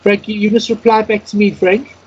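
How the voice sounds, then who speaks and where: A man's voice: sits high, little pitch movement, a little fast. A man giving step-by-step spoken instruction as a teacher, announcing each item word by word over an internet call. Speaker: Morse code practice instructor. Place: Skype call. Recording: dull lo-fi internet call, clear noise.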